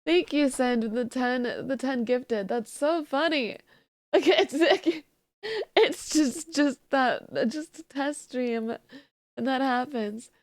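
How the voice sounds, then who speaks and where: A woman's voice: sits high, slow, no echo, clean studio sound. A woman streaming live who says she just woke up and has a sleepy voice, chatting casually and thanking her viewers.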